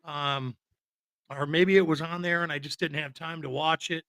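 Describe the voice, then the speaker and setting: Bright, higher-voiced man chatting about films. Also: steady tone, normal speed, dry recording. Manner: rambling, informal, opinionated. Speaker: podcast co-host. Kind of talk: casual film chat.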